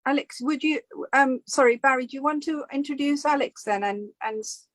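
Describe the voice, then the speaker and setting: A woman's voice: high voice, fairly bright tone, no echo. Flustered woman on a dry call. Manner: flustered, halting. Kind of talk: video call chat.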